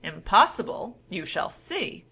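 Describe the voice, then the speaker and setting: A woman's voice: mid-range voice, very animated, unhurried, gravelly, bright, forceful tone, slightly rough, full character voice. Fairy-tale ogre, read by a woman: proud, stern, easily affronted, given to boasting. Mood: indignant. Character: stern, proud male shape-shifting ogre.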